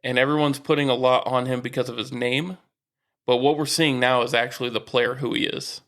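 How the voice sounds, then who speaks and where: A man's voice: medium pitch, fairly even intonation, brisk, fairly bright tone. A man with a mid-range voice co-hosts a sports podcast. He talks in casual, conversational banter, slangy and freely profane.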